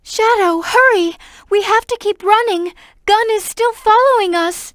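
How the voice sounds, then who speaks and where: A woman voicing a gentle, earnest young girl in a video game, caring and polite in the way she talks. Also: extremely high pitch, a little slow, no room sound, studio quality. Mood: alarmed, pleading.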